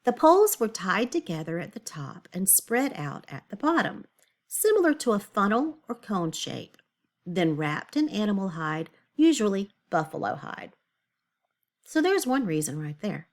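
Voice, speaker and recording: A woman's voice: medium pitch, very animated, a little slow. A woman teacher giving a read-aloud lesson for children, explaining things step by step and thinking aloud. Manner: explanatory, asks the listener questions and thinks aloud.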